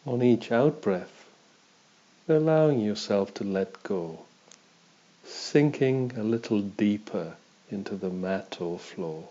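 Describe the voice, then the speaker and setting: Slow, low, mellow male meditation guide. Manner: calm, measured, instructional. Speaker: meditation teacher. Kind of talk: guided body scan meditation. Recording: dull, muffled lo-fi audio.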